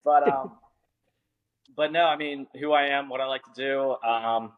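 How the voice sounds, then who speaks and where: A man's voice: mid-range voice, fairly even intonation, measured pace, smooth, narrow, tinny audio. A young adult man, an author guest on a podcast, talking in a laid-back, joking way and swearing freely. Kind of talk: casual podcast interview.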